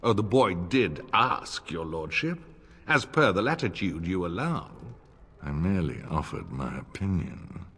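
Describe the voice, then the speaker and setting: An actor playing a smug, middle-aged nobleman and war veteran in a video game, formal and sardonic, with a husky voice. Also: deep voice, sing-song, a little slow, fairly bright tone, heavily acted. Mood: smooth, condescending. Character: older nobleman, old war comrade, smug, wry, insincerely courteous. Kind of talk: video game cutscene acting. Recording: long reverb, music underneath.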